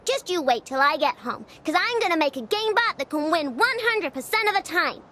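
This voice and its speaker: A woman voicing a cheeky, excitable little girl inventor in a video game, childlike and quick to sulk. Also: extremely high pitch, exaggerated voice. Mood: indignant, sulky. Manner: childlike, indignant, playful.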